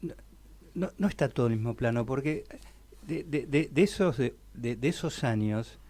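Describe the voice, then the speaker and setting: Radio host, man, mid-pitched, halting and repetitive. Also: animated, darkish tone. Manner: stammering, repeats words while forming questions. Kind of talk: radio interview.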